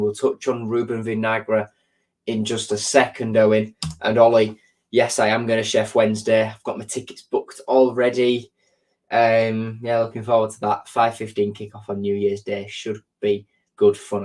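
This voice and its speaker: A man's voice: lowish voice, darkish tone. A man hosting a live stream, chatting casually and answering viewer comments, with a sore throat and blocked nose, talking in a rambling way with lots of ums and repeated words.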